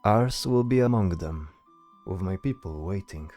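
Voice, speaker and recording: A man's voice: deep voice, lively intonation, unhurried, mellow, faint music behind. A man voicing a composed, commanding noble knight in a fantasy audio drama, speaking with formal authority.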